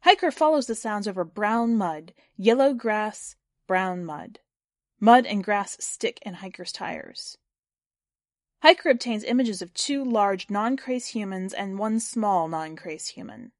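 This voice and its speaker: A woman's voice: mid-range voice, animated, unhurried, no echo, clean studio sound. A woman reading scripted fiction and hosting a story podcast. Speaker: podcast host and story reader.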